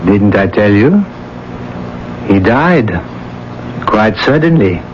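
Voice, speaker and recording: An actor playing a condescending, persuasive, callous schemer in a radio drama. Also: male voice, fairly low pitch, lively intonation, takes it slowly. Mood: casually callous. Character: smooth, scheming, callous male lover and shopkeeper. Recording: dull, noisy old radio broadcast.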